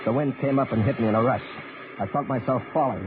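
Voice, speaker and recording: A man's voice: medium pitch, fast talker, lightly acted. An actor playing an American army captain with an American accent, dramatically recounting his mission in a 1950s radio drama. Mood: awed. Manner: dramatic, reflective first-person narration. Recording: noisy, tinny old radio recording.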